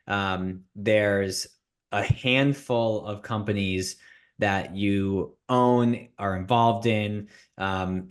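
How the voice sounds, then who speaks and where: Male podcast host, thirties, low voice, loose and jokey. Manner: candid, jokey, self-deprecating. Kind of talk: casual podcast chat.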